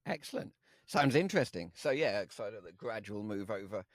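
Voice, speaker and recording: A man's voice: on the low side, animated, normal speed, dry recording, clean studio sound. A man co-hosting a podcast, upbeat and encouraging toward the guest. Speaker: podcast co-host. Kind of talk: casual podcast chat.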